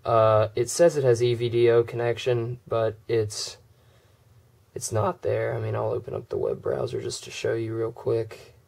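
A man with a cold, his voice going hoarse, casually walking through a phone's software and filling gaps with uhs and ums. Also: medium pitch, moderate pace. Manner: relaxed, many "uh" and "um" fillers. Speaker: tech video creator. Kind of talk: casual screen demo walkthrough.

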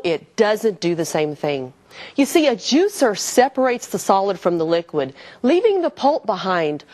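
A woman's voice: medium pitch, darkish tone. A middle-aged woman hosting a cooking show, explaining a demonstration in her kitchen to the viewer in an upbeat way. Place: home-style kitchen studio.

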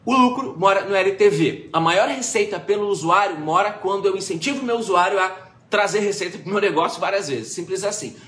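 A man giving a casual, animated online marketing class, full of fillers and restarts, recorded on a webcam. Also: high-pitched. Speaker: marketing consultant presenting a live class. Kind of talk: informal webinar lecture. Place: small indoor room. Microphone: webcam-framed at desk, video call. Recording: echoey room with faint background noise.

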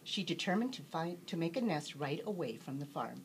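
A woman narrates a bedtime story in a low-pitched voice with lively, swinging intonation. Her storytelling is expressive, and there is faint noise in the background. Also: moderate pace.

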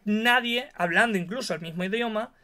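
A man's voice: high-pitched, brisk, bright, forceful tone. A young man co-hosting a casual streamed chat from a dim home room on a headset call. He argues his opinion emphatically, point by point. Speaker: co-host.

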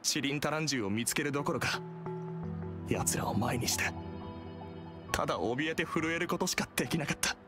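Brief: male actor as a guilt-ridden young brother, soft and self-blaming, slightly high voice. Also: expressive, fairly quick, long pauses, over music, close to an everyday voice. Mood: remorseful. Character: timid young man, remorseful older brother. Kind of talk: Japanese game voice acting.

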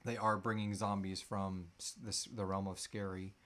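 A man's voice: low voice, level tone, normal speed, fairly bright tone. A man in his thirties chatting casually and jokingly as co-host of a live Q&A. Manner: jokey, playful. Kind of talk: casual chat. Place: small room indoors, on a couch.

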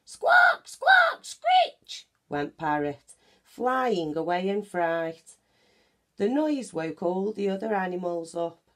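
A woman reads a picture book aloud to young listeners. Her voice sits a little low and moves very slowly, with lively swings in intonation. She is deliberate and expressive, as a storyteller. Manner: animated, deliberate, acts out sound effects. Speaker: children's story reader. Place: small bedroom at home, indoors.

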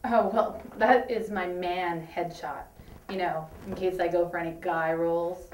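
A woman's voice: lowish voice, steady tone, slight background noise, close to an everyday voice. Young woman acting a brash, pushy auditioner. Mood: earnest. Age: young adult. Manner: assertive, quick to take offence. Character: brash, pushy actress auditioning for a male role. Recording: echoey room, distant mic.

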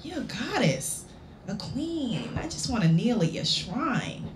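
A woman's voice: a little high, big pitch swings, unhurried, voice pushed up. A woman voicing a smitten, flirtatious man in a live story reading, tender and intimate. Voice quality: gravelly. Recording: roomy live venue recording with background noise.

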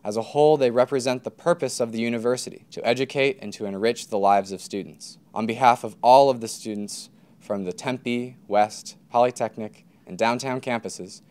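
Clear-voiced young American man giving a speech. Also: mid-range voice, darkish tone. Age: 20s. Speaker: student government president giving a commencement speech. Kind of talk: prepared formal speech. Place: indoor stage at a podium.

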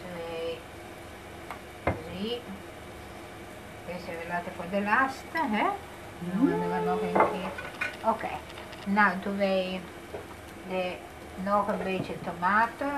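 A middle-aged woman showing a recipe casually at home, speaking haltingly and mixing languages. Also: medium pitch, sing-song. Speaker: home cook, guest. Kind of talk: casual cooking demonstration. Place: small home kitchen, indoors. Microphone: camera microphone at a little distance. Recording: noisy kitchen, camera mic. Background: kitchen cooking noise.

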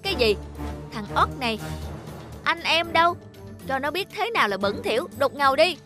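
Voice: high voice, sing-song, moderate pace, music in the background. A performer voicing a bullying, boastful villain of filthy water in a cartoon, menacing and taunting. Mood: furious.

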